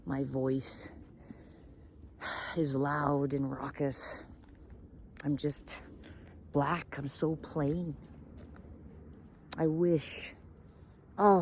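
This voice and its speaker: A woman's voice: medium pitch, big pitch swings, slow pace, warm tone, slight background noise, voice pushed up. Woman voicing a wistful, wide-eyed storybook raven. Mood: self-pitying. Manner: wistful, exclaiming, questioning. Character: self-pitying, hopeful, wide-eyed female raven.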